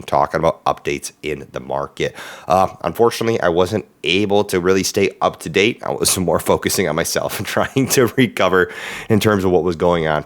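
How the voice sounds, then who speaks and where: Guy with a super deep raspy voice, hoarse from being sick, chatting about stocks with lots of ums. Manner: chatty, with frequent "uh" and "um" fillers. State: hoarse, voice recovering from illness. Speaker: stock market channel host. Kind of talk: casual stock market commentary.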